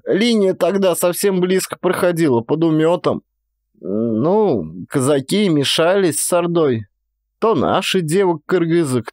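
Old Cossack village woman, played by a male actor, audio play: garrulous, plaintive, rustic, higher pitch, very dry sound. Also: a little mellow, dry recording. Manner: folksy rustic speech, sighing, plaintive. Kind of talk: one-man audio play character acting.

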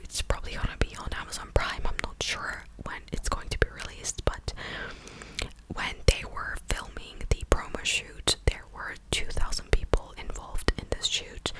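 A young woman doing a whispered ASMR recap, speaking close to the mic in a breathy, clicky, hushed and conversational way. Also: low-quality recording. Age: young adult. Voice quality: breathy unvoiced whisper, clicky mouth sounds. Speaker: ASMR creator. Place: small room indoors at home. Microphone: large desktop condenser mic, very close.

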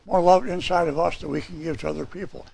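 A man in his sixties with a hoarse, raspy voice, preaching a sermon and reading scripture in a small church hall. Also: on the high side, fairly even intonation, quick pace, a little mellow. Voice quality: raspy, gravelly. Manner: gesturing, reading scripture aloud from papers. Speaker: pastor.

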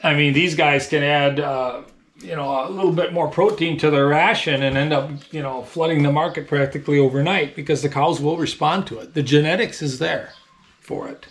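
A man's voice: fairly high pitch, steady tone, normal speed, a bit bright. A man in his thirties, a dairy farmer, explaining things in a casual, plain-spoken, folksy way in a small indoor work room. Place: small tiled milk room with steel tank, indoors.

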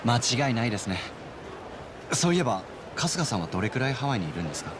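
An actor playing a polite, helpful young man in a video game cutscene, speaking in a courteous, measured, formal way. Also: mid-range voice, sing-song, background noise, close to an everyday voice. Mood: polite, friendly.

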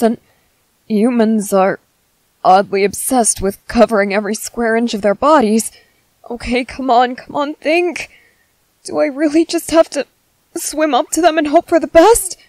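A woman voicing a gentle young merman in an ASMR roleplay, hesitant, soft-spoken, earnest and apologetic. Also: high voice, no room sound. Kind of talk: ASMR roleplay, scripted character acting.

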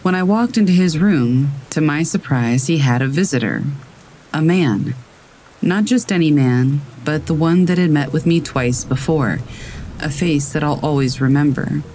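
A man calmly reading scary stories aloud as a narrator, in a steady storytelling manner. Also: on the high side, moderate pace, a little hiss or hum, rough recording. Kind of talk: scripted narration of reader submissions. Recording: faint rain in the background. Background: rain.

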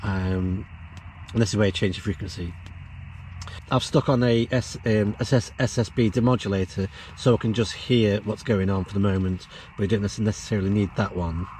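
A man's voice: lowish voice, fairly even intonation, brisk, a little mellow, a little hiss or hum, rough recording. A middle-aged man explaining things casually in a vlog, recorded on a camera while camping. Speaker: vlogger demonstrating off-grid radio gear. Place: outdoors in a windy field and inside a canvas tent.

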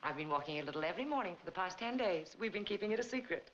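Actress as a genteel, very animated wealthy wife. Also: female voice, fairly low pitch, very fast, natural voice. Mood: happy, gracious. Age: middle-aged. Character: gracious, wealthy middle-aged wife recovering from illness. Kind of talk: 1940s film acting. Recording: dull, noisy 1940s film soundtrack.

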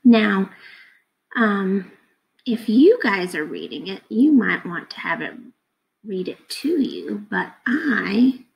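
A woman's voice: medium pitch, expressive, slow pace, creaky, poor audio. A woman in her thirties talking casually to children as their teacher, explaining simply and putting questions to them. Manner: explains simply, asking questions of young listeners. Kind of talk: casual read-aloud lesson for children. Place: indoors at a computer, webcam screen recording.

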